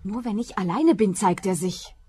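A woman's voice: mid-range voice, moderate pace, warm tone, husky, natural voice. An actress playing a brazen, paranoid woman client in a radio play, insistent and snappy. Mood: anxious, insistent.

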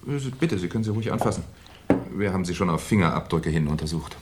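An actor playing a shrewd, courteous police commissioner who questions in a polite, musing, quietly probing way, in 1970s German radio crime drama style. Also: male voice, low-pitched, lively intonation, quick pace, very noisy.

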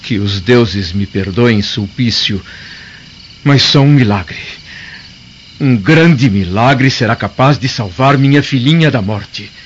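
A man's voice: mid-range voice, takes it slowly, natural voice. Man acting a proud anguished senator, muffled recording. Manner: formal, dramatic delivery. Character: proud Roman senator, anguished father of a sick girl. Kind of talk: radio drama acting. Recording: dull, noisy lo-fi radio drama recording.

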